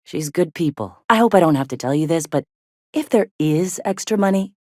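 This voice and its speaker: An actress playing a tough, weary rebel medic and second-in-command in a video game, speaking in a blunt, sardonic, foul-mouthed way. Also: female voice, low voice, animated, fairly quick, darkish tone, no echo, clean studio sound. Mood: concerned, hesitant. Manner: blunt, earthy, plain-spoken, sardonic. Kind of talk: video game dialogue acting.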